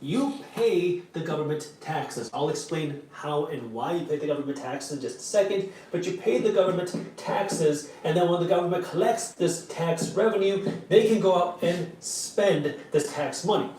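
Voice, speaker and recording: A man who is an attorney, whose first language is Punjabi, lays out a scripted explainer in a clear, structured way. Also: a little high, expressive, normal speed, few pauses, darkish tone, far from the mic. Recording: echoey audio replayed from afar.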